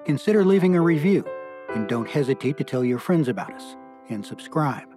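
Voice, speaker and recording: A man's voice: medium pitch, very animated, a little fast. A man narrating a scripted horror story as an author and audiobook narrator. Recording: roomy echo, faint music behind.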